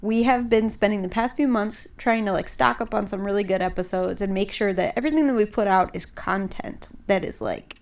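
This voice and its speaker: A young woman hosts a podcast in loose, casual chat, her voice a little stuffed up and congested. She rambles along with plenty of fillers and "like"s, at a middle pitch. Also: fairly quick, creaky, few pauses. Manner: chatty, rambling with many fillers and likes. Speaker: podcast host.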